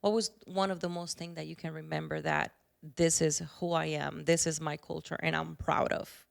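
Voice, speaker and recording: A Caribbean-accented woman hosts a casual podcast interview, talking in an effusive, affectionate way and laughing often. Her voice sits a little low in pitch. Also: little pitch movement, normal speed, creaky, smooth. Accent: Spanish-speaking Caribbean. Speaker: podcast host.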